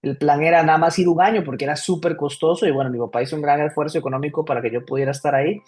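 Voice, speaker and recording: A man in his thirties from Costa Rica, a comedian guest telling his life story in a casual, lively interview. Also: a little high, rapid-fire, breathy edge. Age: 30s.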